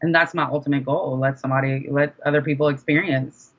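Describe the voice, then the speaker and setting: A woman's voice: deep voice, fairly even intonation, fast talker. A young woman chatting casually as an interview guest, speaking candidly and repeating phrases for emphasis. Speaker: guest, independent music artist. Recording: dull, lo-fi sound.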